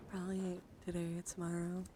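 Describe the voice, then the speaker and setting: A woman livestreaming ASMR in a soothing, gently encouraging way, soft-spoken and often slipping into whispers, close to the microphone. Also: lowish voice, monotone, slow. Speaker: livestreamer hosting an ASMR card-showing stream. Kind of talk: ASMR, casual livestream chat. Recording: clearly audible background noise.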